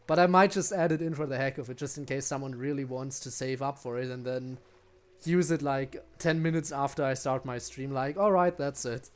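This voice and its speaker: A man's voice: mid-range voice, fairly quick. A man giving casual, rambling live game commentary, musing aloud to his viewers. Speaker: game streamer.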